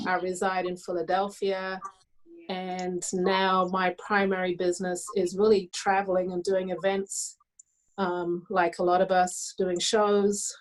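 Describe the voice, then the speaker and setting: A woman's voice: fairly low pitch, completely flat delivery, a little slow, poor audio. A middle-aged woman from England, a hat designer, introducing herself matter-of-factly on a video call.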